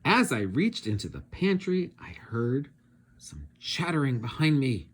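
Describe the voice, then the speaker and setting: A man reading a children's storybook aloud in a dramatic, expressive, suspenseful way. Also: mid-range voice, a little slow, breathy edge. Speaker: read-aloud teacher narrating.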